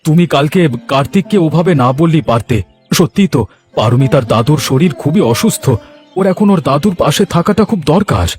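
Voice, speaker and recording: A man's voice: a little high, animated, a little fast, darkish tone, natural voice. Cartoon role: male actor as decent, caring village uncle, earnest delivery, light music bed. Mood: earnest, puzzled. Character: kind, morally upright village uncle, exasperated with greedy wife. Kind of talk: cartoon dialogue acting.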